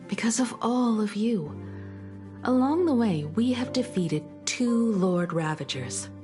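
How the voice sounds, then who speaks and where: A woman playing a composed, caring starship navigator in a video game, speaking in a gentle, reflective, measured way like a proud mentor. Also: fairly high pitch, expressive, over music. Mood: warm, reassured. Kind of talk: video game story dialogue.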